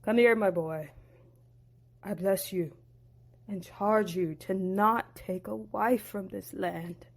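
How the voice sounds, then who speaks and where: A woman voicing a gentle elderly father who speaks slowly and solemnly as he gives a blessing. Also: medium pitch, slightly rough.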